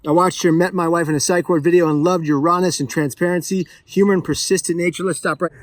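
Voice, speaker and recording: A man with a fairly high-set voice talks in a casual vlog style. His delivery is exuberant and playful, with emphatic phrasing throughout. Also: fairly even intonation, quick pace, dry recording, clean studio sound. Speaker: vlogger. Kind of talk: excited casual vlog chat while opening a parcel.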